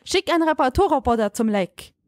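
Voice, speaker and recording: Sci-fi audio drama commander, played by a woman: resolute, irritable female, high-pitched, clipped delivery, dry studio sound. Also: lively intonation, quick pace, no echo. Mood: urgent, commanding. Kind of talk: scripted German audio drama acting.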